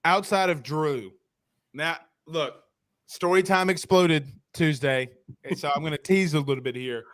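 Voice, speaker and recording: A man hosting a sports talk show, chatting and asking questions in an informal, animated fan's way. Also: fairly high pitch, a little slow, no echo, clean studio sound. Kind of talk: casual sports banter and questions.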